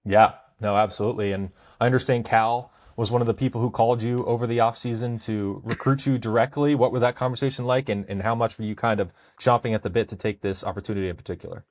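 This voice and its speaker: A man's voice: mid-range voice, fairly even intonation, fast talker, few pauses. An American man hosting a podcast, talking casually about sports in a conversational way. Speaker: podcast host.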